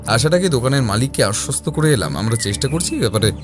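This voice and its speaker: A man playing a composed detective who recounts his investigation in a measured, explanatory first-person narration. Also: mid-range voice, animated, close to an everyday voice. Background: background music.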